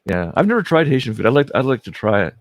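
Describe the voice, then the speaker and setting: A man's voice: mid-range voice, big pitch swings, quick pace. A man hosting a casual livestream interview, friendly and prone to stumbling restarts. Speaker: host.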